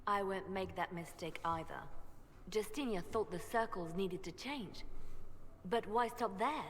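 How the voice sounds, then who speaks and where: An actress playing an idealistic woman spymaster who argues for reform with measured, persuasive conviction in a video game. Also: mid-range voice, natural voice. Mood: impassioned, persuasive. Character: idealistic, reform-minded woman spymaster seeking high church office. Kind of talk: scripted video game dialogue. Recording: long hall reverb, faint noise and ambience.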